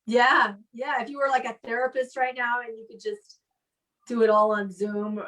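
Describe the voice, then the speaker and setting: A woman hosting a show on a live call, chatting casually and telling anecdotes in an animated way. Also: a little high, moderate pace, recorded from a distance. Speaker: comedy show host. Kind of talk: casual chat. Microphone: live video call.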